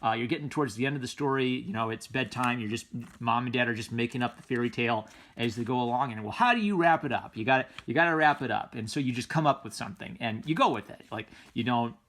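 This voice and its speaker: A man's voice: medium pitch, fast talker, hardly any pauses, a bit bright. A man giving casual, unscripted commentary as a comic book reviewer, enthusiastic and rambling, often circling back on his phrases.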